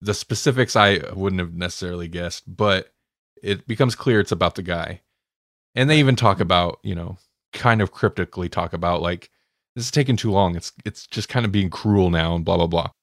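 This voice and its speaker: Gravelly low-voiced man, casual podcast host. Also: a little fast, no room sound, clean studio sound. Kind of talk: casual review chat.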